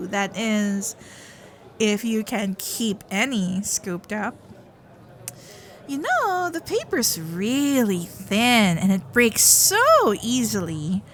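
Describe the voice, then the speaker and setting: Woman acting a teasing kitsune girlfriend, lively and unhurried. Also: fairly high pitch, full character voice. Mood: teasing, playful.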